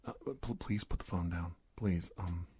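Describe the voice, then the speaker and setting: Man acting a low, hushed, hesitant secret-agent doctor. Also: slight background noise, natural voice. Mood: flustered, pleading. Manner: halting, hushed, gently coaxing. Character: earnest, hesitant male secret-agent doctor, confiding and reassuring. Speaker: ASMR roleplay performer. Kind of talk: soft-spoken ASMR roleplay.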